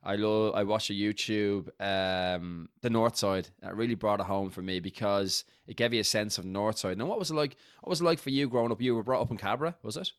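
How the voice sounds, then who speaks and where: Low-voiced Dublin podcast host. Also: male voice, a little fast, hardly any pauses, no room sound, studio quality. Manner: chatty, loose, friendly asides. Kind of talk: casual interview chat.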